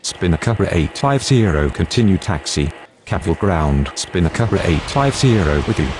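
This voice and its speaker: Man, very low pitch, clipped air traffic phraseology, clear engine-like background noise. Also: sing-song, moderate pace, darkish tone. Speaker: air traffic controller and pilot radio voice in a simulation game. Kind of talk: clipped scripted aviation radio phraseology.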